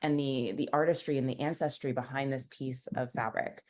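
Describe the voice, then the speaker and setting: Very low-voiced woman, casual and rambling. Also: fairly even intonation, moderate pace. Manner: rambling, many "like" and "you know" fillers. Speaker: founder and guest. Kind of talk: casual conversational interview.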